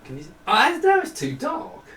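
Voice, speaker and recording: A man's voice: sits high, wildly swooping pitch, fairly quick, fairly bright tone. A man giving a casual, unscripted hardware demo, rambling and thinking aloud in a self-deprecating way. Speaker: hardware designer demonstrating a product. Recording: roomy, distant mic, faint noise.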